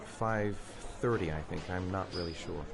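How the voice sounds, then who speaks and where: A man's voice: low voice, normal speed, creaky, background noise. An actor playing a distraught, hesitant father in a video game drama, stammering and unsure of himself. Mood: shaken, uncertain. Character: distraught, hesitant father of a missing young son. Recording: game music behind dialogue.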